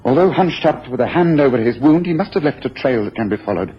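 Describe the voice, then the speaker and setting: Guy acting sharp-eyed detective in a radio drama, precise n bossy, very animated, dull slightly noisy recording. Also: mid-range voice, close to an everyday voice. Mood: brisk, urgent. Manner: analytical, precise, deliberate, authoritative. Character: brisk, observant, commanding gentleman detective.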